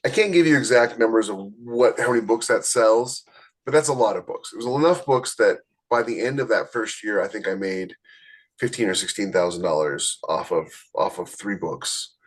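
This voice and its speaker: Low-pitched man chatting as podcast host. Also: moderate pace. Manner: conversational, explanatory, addresses listeners directly. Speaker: podcast host, self-published author. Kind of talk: casual podcast talk about experience.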